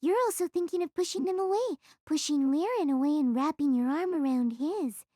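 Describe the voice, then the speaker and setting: Woman as a high-pitched, pushy teenage schoolgirl. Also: lively intonation, fairly quick, warm tone, no room sound, clean studio sound. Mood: urging. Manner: pushy, teasing. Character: bold, meddling teenage schoolgirl egging on her friend.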